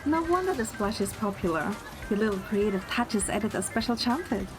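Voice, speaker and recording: A woman playing a fond, gently teasing young girlfriend and film producer in a romantic game audio drama. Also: medium pitch, expressive, fairly quick, over music, close to an everyday voice.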